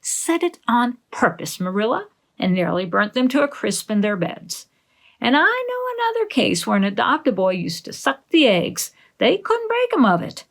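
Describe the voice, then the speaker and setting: An opinionated older village woman from a storybook, acted out by a female reader. She is blunt and alarmist, scolding emphatically in a raised, swooping pitch with some gravel in it. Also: sing-song, normal speed, full character voice. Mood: scolding, alarmed.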